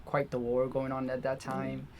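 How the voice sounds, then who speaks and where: A man's voice: medium pitch, completely flat delivery, normal speed. Young man from Somalia, hesitant. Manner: hesitant, many fillers. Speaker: guest. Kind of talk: casual podcast chat. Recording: noisy background.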